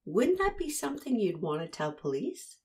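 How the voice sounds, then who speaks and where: A woman's voice: mid-range voice, very animated, normal speed, a little mellow. A woman hosting a commentary, analyzing an interview and pressing the listener with pointed rhetorical questions. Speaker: host analyzing interview footage.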